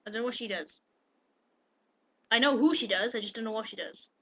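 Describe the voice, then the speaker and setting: A woman's voice: a little high, fairly quick, fairly bright tone. A young Welsh woman with a Welsh accent, chatting casually on a webcam video in a blunt, teasing way. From Wales. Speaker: vlogger.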